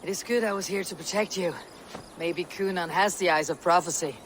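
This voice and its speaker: A woman's voice: fairly low pitch, brisk, slight background noise, close to an everyday voice. An actress playing a wry, steadfast female Viking chieftain with an assured manner in a game cutscene. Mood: protective. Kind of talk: video game cutscene acting.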